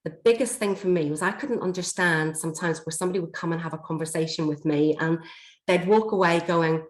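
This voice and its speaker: A woman with a slightly hoarse, somewhat low voice leads an online workshop. She explains things steadily, with frequent ums. The sound comes through a video call from an echoey room. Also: a little fast. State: slightly hoarse, coughing from a chest infection. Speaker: learning and development trainer. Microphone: computer mic on a video call.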